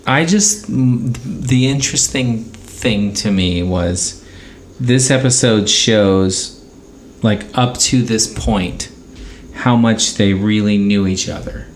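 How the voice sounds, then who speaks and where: A man's voice: fairly low pitch, slow pace, a little hiss or hum. A man co-hosting a podcast, talking casually about a television show. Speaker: podcast co-host. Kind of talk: casual TV rewatch chat.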